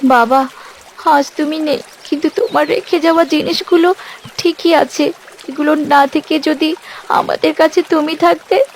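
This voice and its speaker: High-pitched earnest little village girl played by a woman. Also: steady tone, a little slow, a little hiss or hum. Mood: wistful. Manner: earnest, resolute. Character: brave, earnest young daughter of poor fisherman. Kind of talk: cartoon dialogue.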